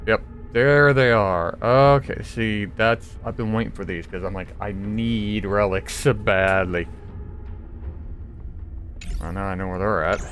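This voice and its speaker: A man's voice: fairly low pitch, unhurried. A man giving casual, informal gameplay commentary, muttering to himself as he plays and reads aloud. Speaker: gamer, let's play commentator. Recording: loud game noise behind voice. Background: loud game ambience and effects.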